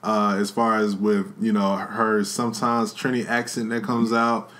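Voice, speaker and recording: A man's voice: lowish voice, little pitch movement, a little slow, fairly bright tone. Earnest slangy man on a podcast. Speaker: podcast co-host, expecting father. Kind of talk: casual chat.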